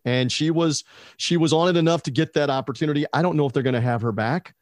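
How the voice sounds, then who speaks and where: A man's voice: fairly high pitch, quick pace, no echo. Emphatic male sports talk host, dry sound. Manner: emphatic, repeats points for stress. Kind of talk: casual podcast debate.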